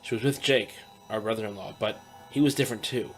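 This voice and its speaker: A man's voice: lowish voice, fairly quick, natural voice. Man voicing a troubled young protector. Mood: worried. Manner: worried, hesitant. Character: young man, burdened protector, anxious brother. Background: faint background music.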